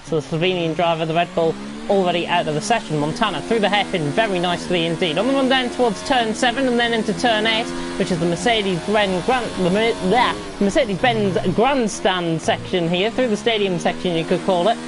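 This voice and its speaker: A woman commentating live on an online racing league, croaky with a flu and rambling with frequent self-corrections. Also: medium pitch, fairly quick, over music, low-quality recording. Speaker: race commentator.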